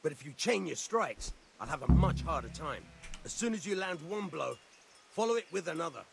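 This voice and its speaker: An actor voicing a seasoned, brisk and blunt sword instructor in a medieval video game. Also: male voice, a little high, lively intonation, creaky, a little hiss or hum. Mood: brisk, instructing.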